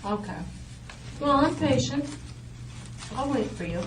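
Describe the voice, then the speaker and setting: Calm woman interrogator in an echoey room. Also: sits high, unhurried. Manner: patient, steady, persuasive. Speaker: police interrogator. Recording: roomy, distant interview-room audio with noise.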